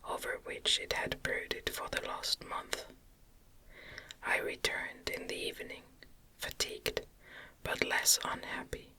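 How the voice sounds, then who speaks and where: A woman whispering a novel as an ASMR audiobook narrator, gentle and deliberate in her phrasing, close to the microphone. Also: poor audio.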